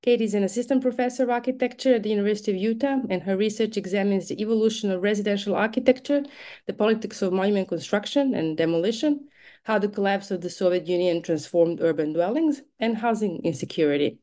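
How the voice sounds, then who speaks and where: A woman's voice: mid-range voice, fast. Friendly woman podcast host. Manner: friendly, warm, laughs easily, with fillers. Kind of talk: interviewing a guest.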